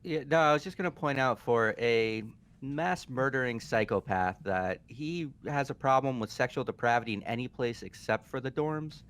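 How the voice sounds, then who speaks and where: A man's voice: mid-range voice, normal speed, fairly bright tone, clear, resonant tone. A man in casual gaming chat, talking in a wry, blunt way.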